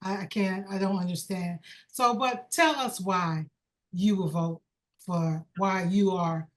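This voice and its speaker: A woman hosting a panel, calmly steering the discussion and putting questions to a guest. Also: on the low side, takes it slowly. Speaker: host. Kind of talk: moderating a panel.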